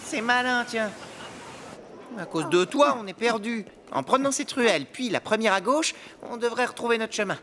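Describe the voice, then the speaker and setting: A performer voicing a cheerful, curious young child hero in a French animated series, eager and expressive, full of exclamations. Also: mid-range voice, normal speed, a little hiss or hum, natural voice. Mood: scolding, flustered.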